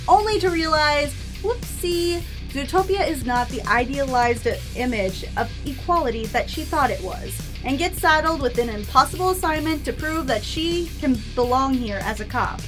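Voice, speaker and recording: A woman hosting a scripted video essay, with an informal, opinionated and playfully emphatic manner. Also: high-pitched.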